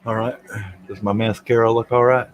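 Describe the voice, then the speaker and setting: A man's voice: medium pitch, little pitch movement, brisk, highs cut off, faint music behind. A man, hosting a casual livestream chat, joking and self-deprecating as he rambles. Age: 50s. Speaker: livestream host.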